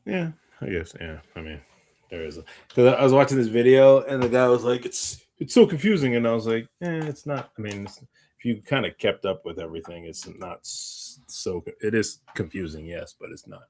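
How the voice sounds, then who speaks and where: A man's voice: mid-range voice, animated, fairly quick, a little mellow. A man co-hosting a podcast in a casual, rambling chat, circling back on his own points. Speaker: podcast co-host.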